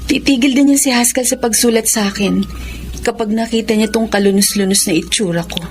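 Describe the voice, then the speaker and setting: An actress playing a sad, self-pitying young daughter in an emotional Filipino radio melodrama, speaking respectfully. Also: female voice, a little high, fairly quick, darkish tone, natural voice. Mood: resigned, sad. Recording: drama with music bed behind.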